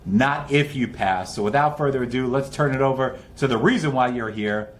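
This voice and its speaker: A man's voice: mid-range voice, normal speed. A man hosting an online test-prep session with an upbeat, informal and encouraging introduction.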